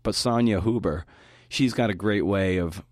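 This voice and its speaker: A man's voice: lowish voice, normal speed, dry recording, clean studio sound. A man hosting a podcast, delivering a prepared talk. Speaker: podcast host giving a talk. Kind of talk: prepared talk with interview clips.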